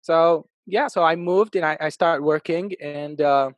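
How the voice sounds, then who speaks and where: A man in his thirties from Iraq, a podcast guest telling his life story in an upbeat, animated way. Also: fairly high pitch, measured pace, no echo. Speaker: podcast guest, real estate agent. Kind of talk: casual interview storytelling.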